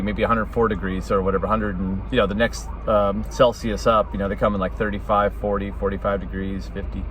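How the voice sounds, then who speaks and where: A man's voice: on the low side, slight background noise. An American man giving a casual, rambling explainer in an informal, conversational way, like a hobbyist presenting a video.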